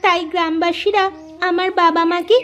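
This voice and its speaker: A woman's voice: extremely high pitch, steady tone, normal speed, a bit bright. A woman voicing a poor, earnest orphaned village girl in a moral-story cartoon. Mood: sorrowful. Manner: exclaiming, talks to herself aloud. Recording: music behind the voice.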